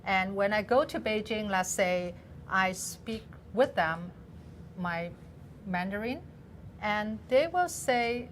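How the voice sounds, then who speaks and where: A woman raised in Hong Kong, with a Hong Kong accent and Cantonese as her first language, guests on a studio talk show. Her voice sits in a middle pitch, and she stops and starts often. A faint hiss runs under the recording. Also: lively intonation, slow pace. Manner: hesitant, many fillers, laughs easily. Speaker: guest, business association president. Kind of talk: studio interview.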